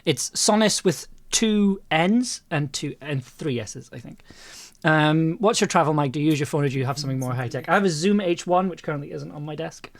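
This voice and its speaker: Higher-voiced man hosting a casual chat. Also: brisk, dry recording. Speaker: host. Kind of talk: casual livestream group chat.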